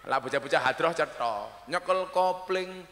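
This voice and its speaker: Male preacher working a crowd with jokes, high bright voice, big reverberant hall. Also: expressive, measured pace. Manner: joking, teasing the audience, switching into regional dialect for punchlines. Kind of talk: comic religious sermon to a crowd. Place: large hall or outdoor stage at a mosque opening. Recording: echoey hall with long reverb.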